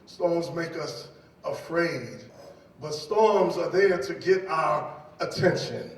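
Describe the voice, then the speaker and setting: A man's voice: a little high, slow pace. A man from New York City preaching a sermon as pastor, repeating key phrases and speaking straight to the congregation. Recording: echoey hall, distant mic.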